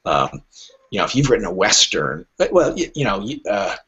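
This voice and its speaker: A middle-aged or older man gives candid, emphatic interview answers, his pitch swinging widely as he acts out imagined exchanges. His voice is somewhat husky rather than crisp. The podcast recording is dull and lo-fi. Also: sing-song, a little fast, fairly bright tone. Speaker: literary agent interviewed as a podcast guest.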